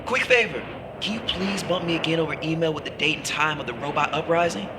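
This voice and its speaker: A man's voice: fairly high pitch, fast, natural voice. Man as breezy billionaire boss over roaring vehicle noise. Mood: jovial, glib. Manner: breezy, glib, boisterous. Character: eccentric billionaire tech boss, breezy, self-absorbed. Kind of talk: sci-fi audio comedy acting. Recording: very noisy car phone call, vehicle roar.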